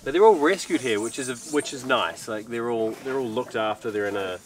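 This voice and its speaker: A man's voice: mid-range voice, brisk. A man in his thirties chatting casually as a travel vlogger, talking to a handheld camera. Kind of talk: casual vlog chat. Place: outdoors, on boat and nature trail. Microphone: handheld camera at arm's length.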